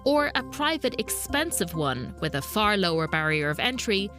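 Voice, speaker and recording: Lady reporter doing voice-over narration, steady and explanatory, music running under it. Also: mid-range voice. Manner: measured, explanatory. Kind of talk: scripted news narration.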